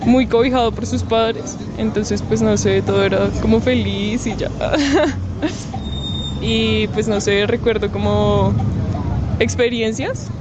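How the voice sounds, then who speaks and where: A woman answering a street interview, relaxed and hesitant. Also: on the high side, slow. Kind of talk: street vox pop. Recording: dull street recording, loud traffic and crowd.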